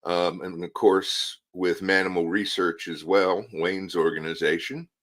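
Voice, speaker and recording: A man in his sixties, a retired psychologist guest on a live talk show, speaking haltingly as he searches for words. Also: low-pitched, steady tone, a bit bright.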